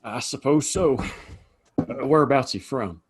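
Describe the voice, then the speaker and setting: Husky, put-upon saloon keeper played by a man. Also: medium pitch, a little slow. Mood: curious, folksy. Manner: hesitant, folksy. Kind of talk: Western audio play acting.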